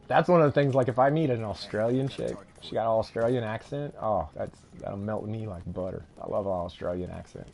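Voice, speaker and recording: A man's voice: medium pitch, vocal fry, darkish tone. Young man, Texan drawl, game streamer, rambling and talkative, lots of up-and-down in the voice. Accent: Texan Southern. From Texas. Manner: rambling, chatty, laughs often. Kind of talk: casual live-stream chat while gaming.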